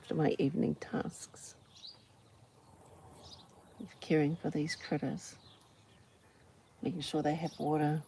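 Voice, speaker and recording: A New Zealand woman talks in a low voice with plenty of gravelly fry. She muses without a script, in short, unhurried phrases. She is outdoors, with faint buzzing of flies and farm ambience behind her. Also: little pitch movement, mellow, slight background noise. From Auckland, New Zealand. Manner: musing, unhurried, pausing between short phrases. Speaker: host of a personal ramble vlog. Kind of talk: unscripted reflective outdoor ramble. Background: flies, insects, farm animals.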